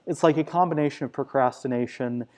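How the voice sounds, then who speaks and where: A man, a minister, asking and answering questions in a live church conversation, speaking in a hesitant, halting way. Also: mid-range voice, a little mellow.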